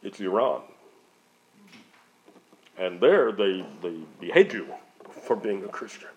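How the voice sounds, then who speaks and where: A man's voice: lowish voice, lively intonation, takes it slowly, a little hiss or hum. A man who works as a missionary answers audience questions in an earnest, conversational way, often restarting his sentences as he goes. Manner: rambling, frequent restarts. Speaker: missionary speaker. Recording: dull lo-fi audio.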